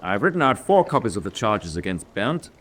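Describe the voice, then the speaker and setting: An actor playing an authoritative senior German naval officer in a radio drama, with a formal, commanding, measured delivery. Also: male voice, lowish voice, big pitch swings, fairly bright tone, slight background noise. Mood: firm authority.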